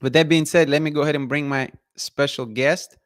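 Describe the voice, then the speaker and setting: A man's voice: fairly high pitch, fairly even intonation, fairly quick, dry recording, clean studio sound. A man hosting a live online show, upbeat, greeting viewers by name and interviewing a guest over a video call.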